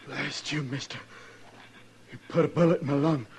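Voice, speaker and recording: An actor playing a bitter, mortally wounded cowboy, gasping in pain in an old radio drama. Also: male voice, on the high side, steady tone, moderate pace, lightly acted. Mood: angry, pained. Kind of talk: old-time radio western acting. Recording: slightly noisy old radio recording.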